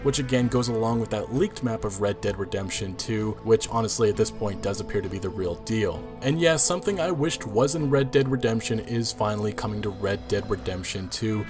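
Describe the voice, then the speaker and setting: Low-voiced male game commentator over music. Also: brisk, few pauses. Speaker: video game trailer commentator. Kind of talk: trailer breakdown commentary. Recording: music behind the voice.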